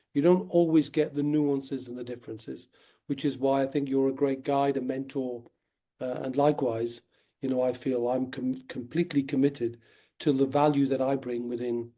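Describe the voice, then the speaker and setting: A man talks through his ideas in an earnest, steady interview manner. His voice is dark and mellow, with a slight croak left over from a cold. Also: mid-range voice. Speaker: guest, wealth company founder. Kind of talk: conversational interview.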